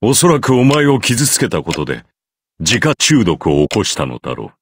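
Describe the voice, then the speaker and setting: An actor playing a cold, imposing middle-aged priest in an anime visual novel, speaking in a measured, condescending way. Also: male voice, fairly low pitch, wildly swooping pitch, a little hiss or hum. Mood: cold, dismissive.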